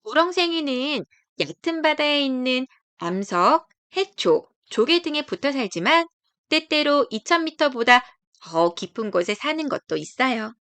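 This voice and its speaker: A woman's voice: high voice, animated, measured pace, a bit bright, no room sound. A woman narrating a scripted children's nature book in a careful, explanatory read-aloud way. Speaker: storybook narrator.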